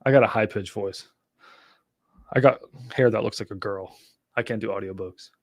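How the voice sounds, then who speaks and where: A young man hosting a live stream on real estate wholesaling, talking casually to his chat and getting excitable and exasperated at times. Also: lowish voice, moderate pace, dry recording, studio quality. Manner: excitable, swears when exasperated, talks to chat. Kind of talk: casual livestream teaching.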